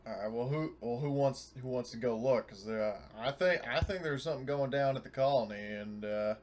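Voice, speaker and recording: A man's voice: medium pitch, normal speed, a little mellow, slight background noise, lightly acted. A man voicing a folksy, worried crew captain in a tabletop sci-fi game, informal and hesitant. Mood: worried, businesslike.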